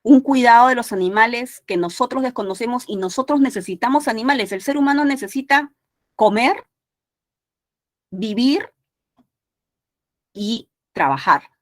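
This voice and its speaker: A woman's voice: on the high side, normal speed, long pauses, no room sound, clean studio sound. A woman from Peru with a Peruvian Spanish accent, giving an exam prep language lecture in a conversational way, with many tag questions and restarts. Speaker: language teacher.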